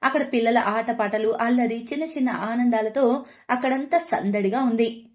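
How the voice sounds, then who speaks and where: Female storyteller, slightly high voice. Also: normal speed, a little mellow. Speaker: narrator. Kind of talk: scripted story narration.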